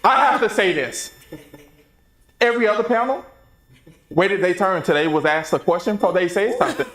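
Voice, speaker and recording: A man's voice: high-pitched, normal speed, fairly bright tone, roomy sound. A man hosting a livestream panel, hyped and teasing as he introduces guests. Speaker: panel moderator.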